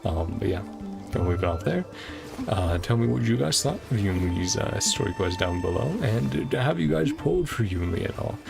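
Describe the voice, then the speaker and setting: Tired man with a heavily creaky voice. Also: lowish voice, sing-song, moderate pace. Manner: hesitant, rambling, informal. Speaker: game streamer. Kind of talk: casual stream outro chat. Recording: music playing behind voice. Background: music.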